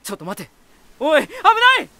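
Playful, high-voiced lighthouse spirit. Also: male voice, big pitch swings, brisk, creaky, sharp tone, no echo, heavily acted. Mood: excited. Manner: teasing, boyish, self-assured. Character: playful male lighthouse spirit, teasing, talkative, eerily battle-hungry. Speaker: voice actor in a character drama. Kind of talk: Japanese anime-style voice drama acting.

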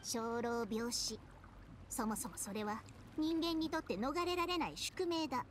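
A grave, blunt snake spirit. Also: female voice, on the high side, animated, unhurried, a little hiss or hum. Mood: solemn, blunt. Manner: blunt, grave, matter-of-fact. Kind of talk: Japanese anime game acting. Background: background music.

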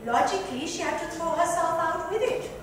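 High-pitched woman reading in echoey room. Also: fairly quick. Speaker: reader in a dramatic book reading. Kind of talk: literary narration read aloud. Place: club lounge, indoors. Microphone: far microphone. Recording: distant mic, very echoey noisy room.

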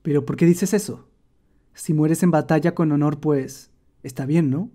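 Cartoon emperor, bossy and blunt, deep and dark, voiced by a woman. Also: normal speed. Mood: commanding. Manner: blunt, commanding. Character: gruff bossy male Roman emperor.

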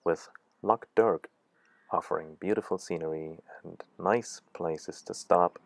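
A man giving calm, scripted voice-over narration for a travel video. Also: deep voice, measured pace, vocal fry.

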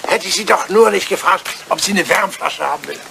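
A man's voice: high-pitched, brisk, gravelly, noisy background. An older man acting a grumpy, self-centred old English lord in a German radio play, querulous and easily flustered. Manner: querulous, hesitant, blustering.

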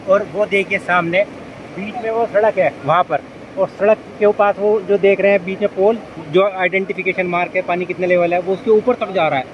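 A man's voice: sits high, fairly even intonation, brisk, dull audio, a little hiss or hum. A man narrating a vlog as he drives, chatty and pointing things out to viewers, mixing English phrases into his talk. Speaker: vlogger. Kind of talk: casual narration while driving. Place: inside a car.

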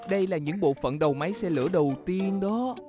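A woman plays a bookish cartoon train, assured and explanatory. The voice is on the low side and calm, over background music. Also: fast, lightly acted. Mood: calm, knowing. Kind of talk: dubbed children's cartoon acting. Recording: music behind the voice.

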